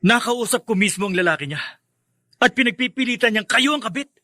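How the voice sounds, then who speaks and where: Cast an accusing eldest son, bright and high, dry-voiced. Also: male voice, brisk, dry recording. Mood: defiant. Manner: indignant, accusing. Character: angry defiant young man, eldest son. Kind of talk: radio drama acting.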